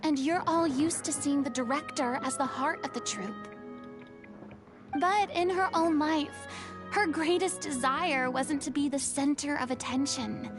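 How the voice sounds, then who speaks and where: High-voiced, commanding yet compassionate heroine. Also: female voice, a little slow, music in the background. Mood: earnest consoling. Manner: firm, earnest, theatrical. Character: commanding, compassionate young woman scolding and consoling a troupe. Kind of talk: dramatic video game voice acting.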